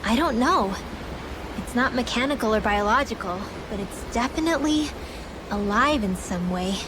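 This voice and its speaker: Youthful high-pitched girl mage for a fantasy game, acted by a woman, insistent, earnest. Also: animated, normal speed, background noise, natural voice. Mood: uneasy. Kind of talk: English video game cutscene acting. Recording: game music behind the voice.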